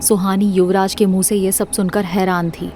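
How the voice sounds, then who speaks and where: A woman's voice: medium pitch, level tone, moderate pace, mellow, a little hiss or hum. A woman reading scripted narration for an audio drama series.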